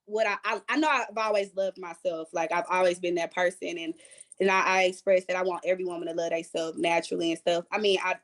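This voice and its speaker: A woman's voice: lowish voice, fairly even intonation, fast. Candid, slangy woman on a panel. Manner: candid, joking, colloquial. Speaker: panelist, performer.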